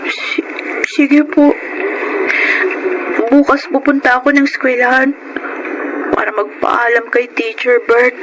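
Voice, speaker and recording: High-voiced Filipino teenage daughter, noisy recording. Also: female voice, steady tone, takes it slowly, long pauses. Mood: sad, obedient. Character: dutiful teenage daughter, respectful, self-sacrificing. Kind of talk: Filipino radio drama acting. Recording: noisy radio drama recording.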